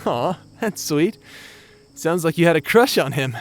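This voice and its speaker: A man's voice: a little high, animated, measured pace. A man playing a youthful-seeming, centuries-spanning space pirate in an audio drama, casual, teasing and reassuring.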